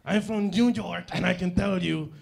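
A man doing a deadpan comic news segment on a church stage, dryly repeating his running gag. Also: sits high, normal speed, creaky, reverberant. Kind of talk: deadpan comedy sketch. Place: large church stage, indoors. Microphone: handheld mic.

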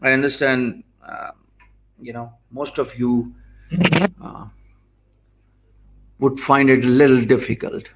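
A man in his forties with a Pakistani English accent, teaching live to viewers in a slow, easy, conversational way from an office. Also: mid-range voice, little pitch movement, slight background noise. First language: Urdu. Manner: deliberately slow, easy speech; thinks aloud, loose and conversational. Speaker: online course instructor. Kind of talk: live stream talk to viewers. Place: office room, indoors. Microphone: desk mic in front of him.

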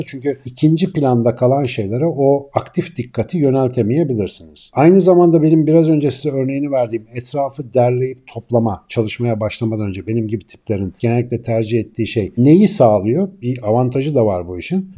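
A man in his forties hosts a talk to camera and explains his points at length. His voice is very dark and mellow, and he gestures constantly with his hands as he speaks. He sounds like he is on a webcam in a small room at home. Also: medium pitch. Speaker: host. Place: small room indoors with guitars on the wall.

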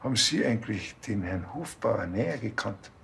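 A man's voice: fairly low pitch, lively intonation, moderate pace, creaky, a bit bright, slight background noise. An actor playing a naive, tactless, curious policeman with a Bavarian accent in a TV crime comedy. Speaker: police officer.

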